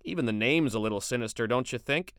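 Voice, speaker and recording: Guy doing a gruff stern dad, pipe smoker, lowish smooth voice. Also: quick pace, fairly bright tone, no room sound, studio quality, natural voice. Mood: joking. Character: gruff pipe-smoking father, stern, easily riled.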